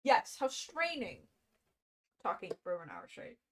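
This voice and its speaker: A woman livestreamer who reads aloud and talks casually, in an expressive, self-deprecating way. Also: medium pitch, normal speed. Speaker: livestreamer reading a book aloud.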